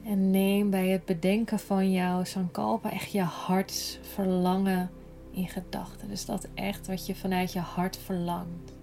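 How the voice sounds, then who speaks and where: A woman guiding a yoga nidra relaxation in a gentle, soothing, unhurried manner, breathy at times. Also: mid-range voice, little pitch movement, soft background music. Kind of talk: slow guided relaxation meditation.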